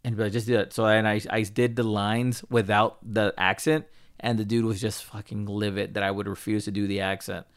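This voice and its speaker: An American man hosting a casual interview, telling stories in an animated, loose, sweary way. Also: lowish voice, a little fast, smooth. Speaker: podcast host and interviewer. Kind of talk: casual chat.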